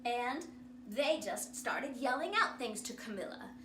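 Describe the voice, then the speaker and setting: A woman reading a children's storybook aloud as an animated storyteller, with theatrical, dramatic emphasis. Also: a little high, unhurried. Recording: echoey room, distant mic, clear noise.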